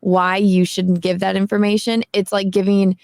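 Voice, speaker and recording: A woman's voice: mid-range voice, level tone, moderate pace, vocal fry, a little mellow, no room sound, clean studio sound. A woman in her twenties, a guest on a casual panel chat, telling a story and quoting others as she goes, often laughing. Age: 20s.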